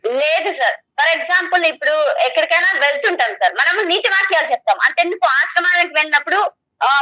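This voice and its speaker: A young woman speaks in a very high, piercing voice. She is insistent and emotional, pressing a personal plea like a guest at a counselling session. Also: sharp tone, poor audio. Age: young adult.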